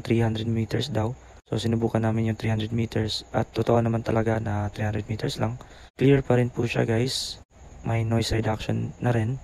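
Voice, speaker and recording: A Filipino man narrates a casual review in a subdued, gravelly voice with fairly even intonation. He keeps his volume down, and a faint noise sits behind him. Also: medium pitch, rough recording. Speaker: product reviewer. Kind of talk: casual voice-over review mixing Tagalog and English. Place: boarding house room, voice-over.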